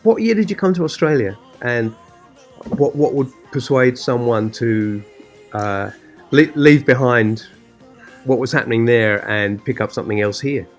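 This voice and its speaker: Man, podcast host, mid pitch, relaxed questioning, casual chat, faint music behind. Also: unhurried. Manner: relaxed, asks questions, brief agreements.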